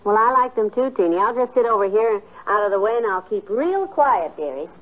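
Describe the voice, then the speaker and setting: A kindly middle-aged wife played by a woman in 1950s radio comedy. Her voice moves expressively and stays gentle, homely and amused. The old recording is thin and muffled. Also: medium pitch, animated, brisk, close to an everyday voice.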